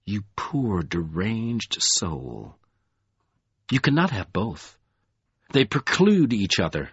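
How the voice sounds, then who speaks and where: Brief: contemptuous ancient villain lord, husky and unhurried, low dry read, voiced by a male narrator. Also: big pitch swings, no echo, dull audio, heavily acted. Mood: mocking. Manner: slow, deep, sneering delivery. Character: scornful ancient male dark lord, mocking. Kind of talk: audiobook character voice.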